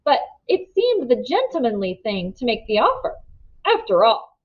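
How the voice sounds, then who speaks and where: Woman reading as a smug, petulant villain, swooping pitch. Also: huge pitch swings, normal speed, highs cut off. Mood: nervous petulance. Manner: smooth talk turning higher, petulant and shrill. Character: smug, greedy man with a thin, petulant politeness.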